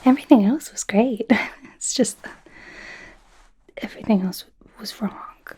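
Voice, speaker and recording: A woman acts out a young female werewolf studying to be a doctor, in an ASMR roleplay. She speaks in a breathy whisper, soft and mellow, at an unhurried pace. Her manner is chatty, awkward and gently sarcastic. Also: medium pitch. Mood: chatty, amused.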